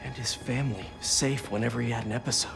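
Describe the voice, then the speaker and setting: A man's voice: mid-range voice, steady tone, fast talker, creaky, music in the background, lightly acted. A man acting an earnest, concerned young superhero in a video game cutscene. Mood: pensive, investigating.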